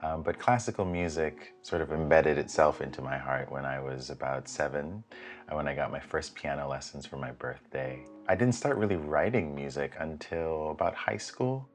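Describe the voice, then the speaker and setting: A man from the Bahamas with an exceptionally deep voice, talking about his work as a composer. He speaks in a relaxed, reflective way with plenty of ums and uhs. Soft music sits faintly underneath. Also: normal speed. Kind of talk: documentary interview.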